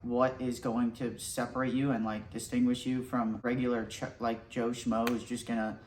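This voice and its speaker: A young man hosting a mock survival show outdoors, talking in a deadpan, boastful, rambling way. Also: medium pitch, normal speed, a little hiss or hum, low-quality recording. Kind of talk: deadpan comedic parody narration. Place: outdoors in nature.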